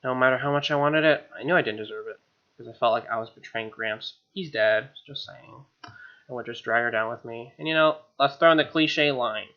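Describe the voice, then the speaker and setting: A man's voice: medium pitch, a little fast, muffled recording. A man hosting a let's play, reading game text expressively with wry, casual asides.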